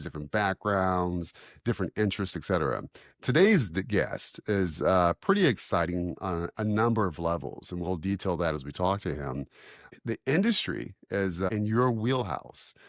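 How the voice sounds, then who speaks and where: A man's voice: deep voice, dry recording, studio quality. A man hosting a podcast, introducing his guest in a friendly, rambling way with repeated words. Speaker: podcast co-host. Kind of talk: podcast interview.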